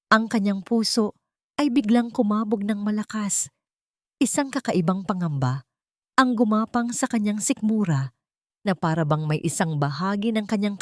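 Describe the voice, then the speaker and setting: A woman's voice: medium pitch, dry recording, clean studio sound. A woman narrating a scripted audiobook story in a dramatic storytelling style.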